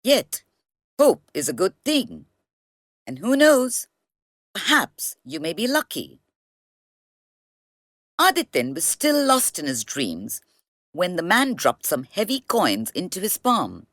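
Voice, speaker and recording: A woman reads a children's story aloud as narrator. Her delivery is slow and deliberate, with extremely animated pitch, and the recording is close and dry. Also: no echo.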